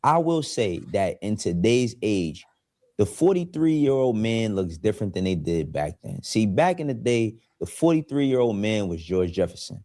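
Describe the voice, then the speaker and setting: A man's voice: fairly low pitch, very animated, normal speed, warm tone, no echo, clean studio sound. A man in his forties hosting his own online show, talking laid-back and streetwise, laughing often. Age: 40s. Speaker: YouTube show host. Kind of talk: casual commentary.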